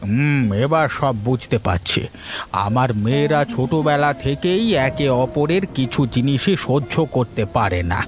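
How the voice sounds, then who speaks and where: A man's voice: mid-range voice, animated, measured pace, natural voice. A man playing a helpful, concerned village elder in a cartoon folk tale, asking questions and giving advice. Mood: advising. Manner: advising, inquiring.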